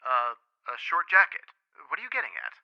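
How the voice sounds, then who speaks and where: A man playing a brisk, blunt and excitable police sergeant in the manner of 1950s radio detective drama. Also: medium pitch, gravelly, highs cut off. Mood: puzzled, brisk.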